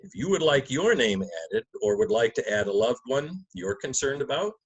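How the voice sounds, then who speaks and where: A man's voice: mid-range voice, very animated, moderate pace, breathy edge. A man speaking as a pastor, delivering a church message and scripture narration. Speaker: clergyman and narrator of a gospel reading.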